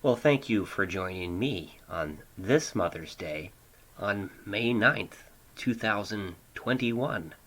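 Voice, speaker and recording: A man hosting an old-time radio program from a home studio, speaking in measured, halting phrases. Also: fairly low pitch, lively intonation, fairly bright tone, rough recording. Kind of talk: reflective radio hosting, unhurried sign-off.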